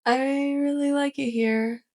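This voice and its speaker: A woman playing a sweet, curious, awkward alien roommate with a crush, speaking gently and haltingly in a soft ASMR roleplay. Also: sits high, steady tone. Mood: tentative, affectionate. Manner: gentle, hesitant, halting delivery.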